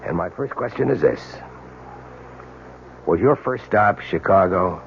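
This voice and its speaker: An American actor playing a dogged, world-weary police detective in a radio drama, speaking earnestly and insistently with deliberate dramatic pauses. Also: male voice, low-pitched, expressive, creaky, a little hiss or hum, heavily acted. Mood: intense, persuasive. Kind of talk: 1970s radio drama acting. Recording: dull, lo-fi old radio drama recording.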